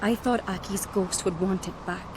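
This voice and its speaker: A woman's voice: medium pitch, steady tone, moderate pace, noisy background, natural voice. An actress playing an earnest, headstrong young island noblewoman in a fantasy video game, speaking frankly like a storyteller. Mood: earnest, convinced. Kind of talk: video game cutscene acting. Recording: music behind the voice.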